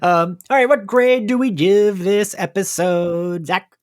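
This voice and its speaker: A man's voice: high-pitched, measured pace, no echo, studio quality. A man hosting a casual pop-culture podcast, talking informally and swearing now and then. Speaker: podcast host.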